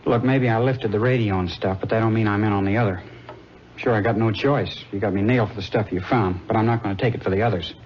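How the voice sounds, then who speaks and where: A man's voice: lowish voice, fairly even intonation, quick pace, lightly acted. A man playing a sullen, evasive nineteen-year-old American petty thief in a 1950s radio drama, curt and defensive. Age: young adult. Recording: muffled, slightly noisy 1950s radio recording.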